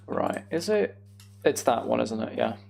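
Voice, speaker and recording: A young man giving casual, meandering gameplay commentary on a live stream, thinking aloud and chatting to viewers, with a creaky voice. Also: medium pitch, expressive, unhurried, a little mellow.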